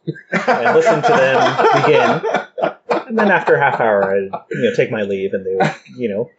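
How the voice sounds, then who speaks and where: A man's voice: medium pitch, sing-song, moderate pace. A man hosting a podcast, asking questions and chatting casually. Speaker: podcast host. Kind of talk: casual interviewing. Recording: dull lo-fi audio, clearly noisy.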